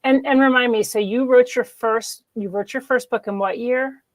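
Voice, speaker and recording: A woman hosting an interview, asking quick, eager questions. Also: on the high side, dry recording. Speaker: host.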